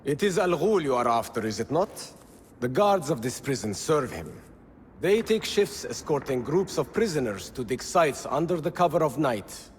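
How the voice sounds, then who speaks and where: An actor playing a shrewd, defiant, self-assured rebel in a video game. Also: male voice, fairly high pitch, very animated, moderate pace. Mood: shrewd, insistent. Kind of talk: video game cutscene acting. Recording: reverberant hall, faint ambient noise.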